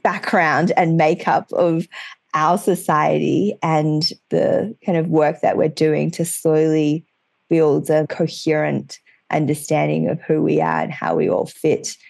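A woman's voice: low voice, creaky, a little mellow, no room sound. A woman hosting a podcast, asking conversational questions and adding her own reflections. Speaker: podcast host. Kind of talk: podcast interview. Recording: dry close sound.

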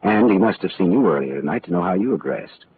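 An actor playing a wisecracking, world-weary private detective in 1940s radio style, clipped and sardonic. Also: male voice, low voice, expressive, fast talker, slight background noise. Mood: pressing. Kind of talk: hard-boiled first-person narration and dialogue, 1940s radio acting. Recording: muffled old radio broadcast, faint music.

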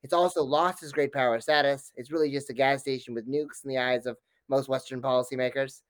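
A man's voice: medium pitch, fairly even intonation, a little fast, no room sound. A man speaking as an academic guest in an interview, giving fluent, detailed explanations.

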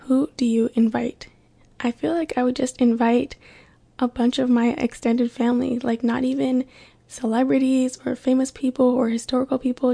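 A young woman born in Kenya, hosting a solo podcast and chatting casually, with a relaxed, filler-heavy way of talking. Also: fairly high pitch, creaky. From born in Kenya, raised in California. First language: Swahili. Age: young adult. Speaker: podcast host.

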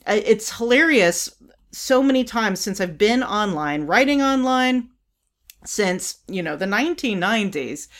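A woman's voice: lowish voice, fairly bright tone. An older woman from the United States hosting her own podcast, talking casually with many fillers, restarts and wry laughs. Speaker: podcast host. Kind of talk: casual solo commentary.

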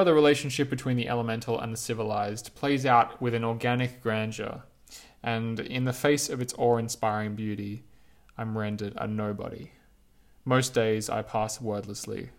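Low-voiced man reading a reflective essay. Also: fairly even intonation. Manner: measured, lyrical, unhurried delivery. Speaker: author reading his own essay.

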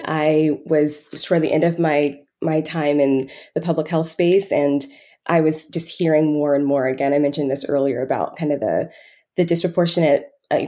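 A woman giving reflective answers as an interview guest, speaking in a halting, conversational way as she works out her thoughts. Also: low-pitched, warm tone. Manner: halting, thinking aloud, frequent hedging with "kind of" and "like". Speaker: podcast guest, financial coach.

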